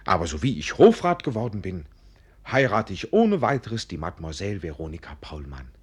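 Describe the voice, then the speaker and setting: An actor playing an excitable, dreamy young student with theatrical, declaiming delivery in a German radio play. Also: male voice, deep voice, a little fast, husky, heavily acted. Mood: sober, smug. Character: excitable, tipsy dreamy young male student, earnest and poetic.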